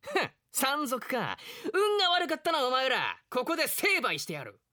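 A man acting a bright, high, cowardly young slacker warrior. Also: huge pitch swings, moderate pace, dry recording, clean studio sound, heavily acted. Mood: cocky. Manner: slangy, breezy, wheedling. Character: lazy, cowardly, playful young male would-be warrior. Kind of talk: comic radio drama acting.

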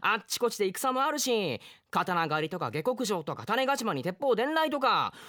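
A man acting a bright, high, cowardly young slacker warrior. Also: big pitch swings, moderate pace, no echo, clean studio sound, full character voice. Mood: pleading. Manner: slangy, breezy, wheedling. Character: lazy, cowardly, playful young male would-be warrior. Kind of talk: comic radio drama acting.

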